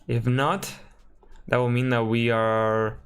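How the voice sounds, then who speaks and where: A man from Spain with a Spanish accent, thinking aloud while building a game on a live stream and often correcting himself with a quick sorry. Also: mid-range voice, little pitch movement, smooth. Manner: mutters through steps, apologizes and corrects himself often.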